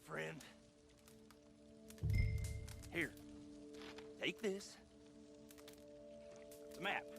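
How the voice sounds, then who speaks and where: A beaten, frightened outlaw pleads in a high, gravelly voice, dragging out each halting word very slowly. Game score music plays clearly behind him. It comes from a video game cutscene. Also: male voice, exaggerated voice. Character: frightened beaten outlaw man begging for mercy.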